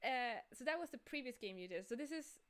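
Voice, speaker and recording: A woman's voice: on the high side, lively intonation, a little fast, a bit bright, clean tone. A woman hosting a livestream, interviewing informally and rephrasing as she goes. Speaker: livestream host. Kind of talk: casual interviewing.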